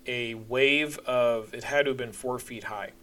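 A man's voice: mid-range voice, steady tone, a little slow, pushed and bright. A man recounting his own experiences in a candid, conversational storytelling style with a wry sense of humor. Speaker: podcast narrator. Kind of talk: casual personal storytelling.

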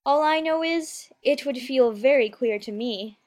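A man's voice: very high voice, measured pace, voice pushed up. A man voicing a polite, anxious little girl in a storybook, with a shy and hesitant way of talking. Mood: earnest. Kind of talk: storybook character voice.

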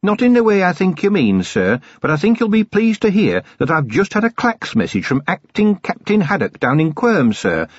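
A man's voice: sits high, huge pitch swings, normal speed, hardly any pauses, dry recording, muffled recording, voice pushed up, exaggerated voice. A man voicing a cheerful, deferential female dwarf police sergeant, polite and eager, in an audiobook.

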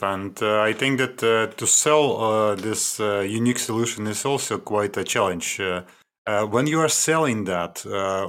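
Male podcast host, lower pitch, halting phrasing, interviewer. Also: a bit bright.

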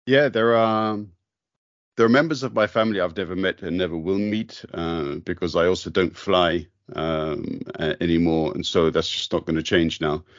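A man with a very low, deep voice talks slowly and thoughtfully, often stopping to restart. The sound is dry and close, with a dull, muffled quality. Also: fairly even intonation, dry recording. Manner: thoughtful, hesitant, many restarts. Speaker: podcast host. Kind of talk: reflective conversation.

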